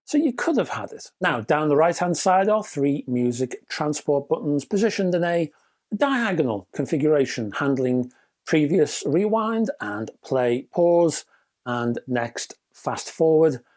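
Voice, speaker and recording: A man's voice: a little high, big pitch swings, unhurried, a little mellow. A British man narrating a scripted product review with chatty, wry asides and emphatic phrasing.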